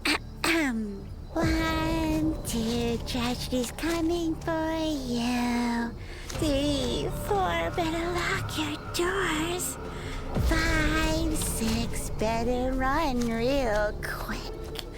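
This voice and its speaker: A woman's voice: high-pitched, drawn-out words, over loud music. An actress in a horror audio roleplay playing a gleeful, bloodthirsty monster woman with a taunting, sing-song manner. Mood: playful, taunting. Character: gleeful bloodthirsty female monster hunting with a wolf.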